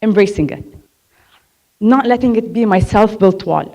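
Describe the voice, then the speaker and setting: A woman's voice: medium pitch, moderate pace, warm tone. A young woman who stutters, telling her own story candidly from a stage. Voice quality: stutters, repeats sounds and words. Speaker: speaker sharing her story. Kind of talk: personal talk on stage. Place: on a stage before an audience.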